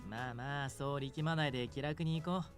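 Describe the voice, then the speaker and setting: A man's voice: mid-range voice, fairly even intonation, very fast, lightly acted. An actor voicing an easygoing, reassuring young man in a visual novel game. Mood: relaxed, casual. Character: easygoing, reassuring young male cafe regular. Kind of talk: Japanese visual novel voice acting. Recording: game music behind.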